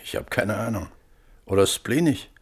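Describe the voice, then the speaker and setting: Plain-spoken, wry hearing panelist. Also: male voice, on the low side, animated, moderate pace, husky. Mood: puzzled. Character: folksy, puzzled male hearing panelist, wryly humorous. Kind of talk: German radio play, hearing-room questioning.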